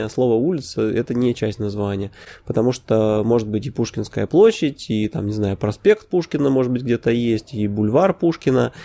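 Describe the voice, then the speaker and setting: A man hosting a casual podcast, his mellow, warm voice sitting at a middle pitch. He talks off the cuff, with filler sounds and quick self-corrections. He drops wry, opinionated asides along the way. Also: vocal fry. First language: Russian. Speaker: podcast host.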